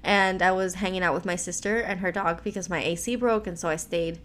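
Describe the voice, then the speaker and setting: Raspy American woman hosting a devotional. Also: on the low side, fairly even intonation, brisk, a bit bright, slight background noise. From Miami, Florida, United States. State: hoarse from allergies. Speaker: devotional podcast host.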